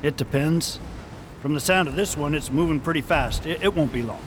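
A man's voice: fairly high pitch, brisk, background noise, close to an everyday voice. A man playing a level-headed deputy in a radio drama, speaking cautiously and matter-of-factly. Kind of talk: amateur radio drama acting.

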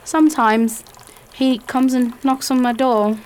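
Woman acting a fretful young lady, high voice. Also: fairly even intonation, measured pace, darkish tone, a little hiss or hum. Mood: anxious, distressed. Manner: earnest, fretful, polite. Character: timid, distressed young lady, stranded and grateful. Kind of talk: radio theatre acting.

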